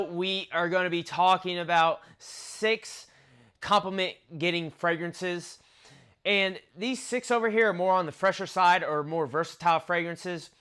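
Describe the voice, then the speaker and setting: A man's voice: sits high, clean tone. Nasal, chatty young man. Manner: chatty, conversational, lists notes in a relaxed flow. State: nasal from allergies. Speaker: fragrance reviewer. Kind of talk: casual vlog review.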